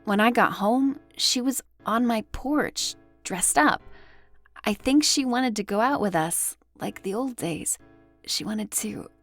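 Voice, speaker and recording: A woman playing a guarded, troubled witness who speaks hesitantly and haltingly in an audio drama. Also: a little high, no room sound, soft background music. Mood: troubled, recalling.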